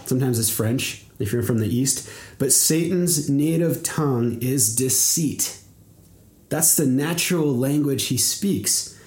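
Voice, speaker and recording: A young man from Canada preaching a sermon in an earnest, measured way, often repeating words as he goes. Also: medium pitch, a little mellow. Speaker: pastor. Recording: echoey room.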